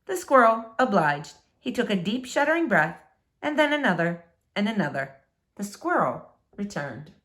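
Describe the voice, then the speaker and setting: A woman reading a children's book aloud in an expressive, dramatic storytelling manner, with deliberate, emphatic phrasing. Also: mid-range voice. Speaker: storybook reader.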